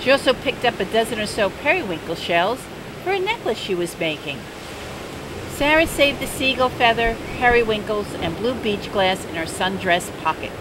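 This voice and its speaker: An older woman reading a children's picture book aloud in a gentle, unhurried bedtime-story manner. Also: on the high side. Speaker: storybook reader. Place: outdoors by the sea. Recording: steady outdoor noise.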